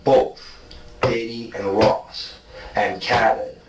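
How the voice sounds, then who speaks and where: A man from South Africa with a South African accent, speaking haltingly in an interrogation interview and tagging "okay?" onto his claims. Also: medium pitch, steady tone. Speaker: interviewee in archive footage. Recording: echoey distant room with background noise.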